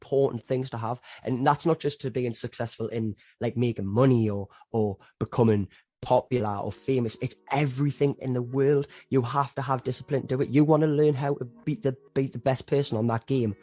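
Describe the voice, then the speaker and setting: A man's voice: mid-range voice, steady tone, fairly quick, dark tone, dry recording. A young English man with an English accent, a rapper guest on a podcast, talking earnestly and reflectively and saying "me" for "my". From England. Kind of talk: casual interview chat.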